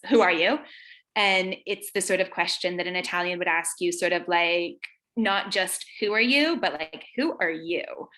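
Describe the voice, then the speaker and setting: A woman's voice: mid-range voice, bright, forceful tone. A woman from North Carolina in her thirties, giving a rambling, filler-heavy talk over a video call. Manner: chatty, rambling, many fillers and self-corrections. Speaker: guest speaker, former tour guide. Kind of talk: live online presentation.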